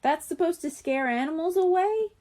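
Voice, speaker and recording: A candid, doubting young boy from a children's story, read by a woman. The voice is high, with lively swings in pitch. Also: moderate pace. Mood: skeptical. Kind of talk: children's audiobook character dialogue.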